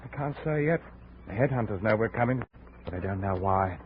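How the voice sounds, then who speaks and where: A man acting a seasoned, hard jungle guide with a gruff, domineering manner in a 1950s radio drama. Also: lowish voice, lively intonation, brisk, close to an everyday voice. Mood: measured. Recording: scratchy, narrow-band 1950s radio recording.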